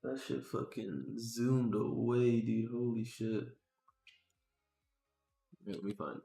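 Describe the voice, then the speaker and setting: A man's voice: mid-range voice, slow pace, creaky, warm tone, soft background music. A man thinking aloud casually on a livestream as he works, muttering to himself in slangy, sweary talk. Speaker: game developer live-streaming work. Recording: roomy echo.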